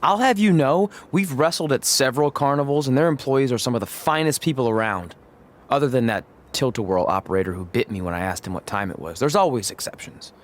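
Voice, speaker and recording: Animated, goofy young wrestler guy voiced by an actor. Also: mid-range voice, brisk, natural voice. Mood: indignant. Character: earnest, goofy, supportive young wrestler sidekick. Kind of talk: video game cutscene acting.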